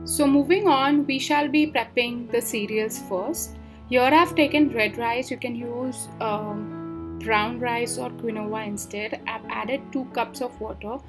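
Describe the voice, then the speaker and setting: A young woman with a fairly high voice walks through home meal prep step by step, calm and plain. She talks as a voice-over, and clear background music plays under her. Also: a little slow. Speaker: cooking vlogger. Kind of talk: instructional cooking voice-over. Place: home kitchen, indoors.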